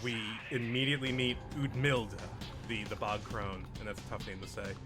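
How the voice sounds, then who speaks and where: A man's voice: lowish voice, fairly quick, music in the background. A man giving casual commentary as he plays through a video game.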